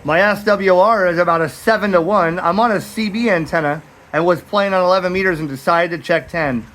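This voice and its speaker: Higher-pitched American man, casual radio hobbyist. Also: fairly quick, fairly bright tone. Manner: plain-spoken, informal. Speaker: radio hobbyist making videos. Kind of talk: casual chat and ham radio calls. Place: backyard by a metal shed, outdoors.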